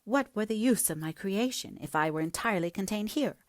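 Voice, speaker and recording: A woman voicing a passionate, headstrong young gentlewoman in a dramatic, emphatic audiobook reading, breathy at times. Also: sits low, expressive, normal speed, dry recording, clean studio sound. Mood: impassioned.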